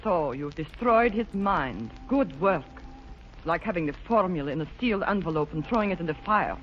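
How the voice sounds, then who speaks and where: An actress playing a sharp-tongued, impatient spy countess in a 1940s radio drama, scolding and sarcastic. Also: female voice, on the low side, expressive, a little fast, background noise, close to an everyday voice. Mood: scornful. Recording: muffled old radio recording.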